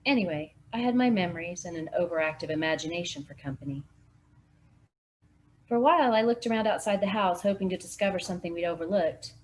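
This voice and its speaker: A woman reading a mystery novel aloud as a volunteer, narrating in a steady first-person voice. Her pitch sits on the low side, and faint noise hums underneath. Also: fast talker, rough recording. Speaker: volunteer reader.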